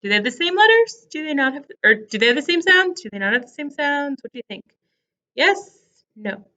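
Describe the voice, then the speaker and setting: Woman, elementary teacher, bright crisp tone, slightly high pitch, unhurried, instructive, sounding out words for kids. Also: big pitch swings. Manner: deliberate, sounds out words in separated pieces; instructive, repeats questions and checks understanding. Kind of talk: phonics lesson addressed to young children.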